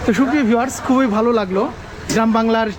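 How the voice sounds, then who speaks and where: Guy vlogging at an outdoor market, really high voice, talks to viewers, crowd noise behind him. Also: very fast. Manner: presenter-like, addresses viewers, repeats vendors' answers. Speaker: vlog host interviewing market vendors. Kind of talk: on-location vlog commentary and casual questions to vendors. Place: outdoor rural village market. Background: market crowd chatter.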